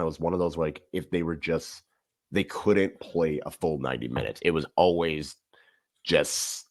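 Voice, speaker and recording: A man co-hosting a casual podcast, giving animated, emphatic analysis of football matches. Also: rumbling low. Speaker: podcast co-host. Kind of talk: casual sports chat.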